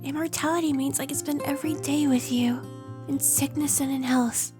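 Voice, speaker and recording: A woman's voice: high-pitched, steady tone, moderate pace, over music, natural voice. A woman playing a stubborn, devoted young woman, earnest and firm, in a fan audio drama. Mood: devoted, determined. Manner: earnest, light but firm.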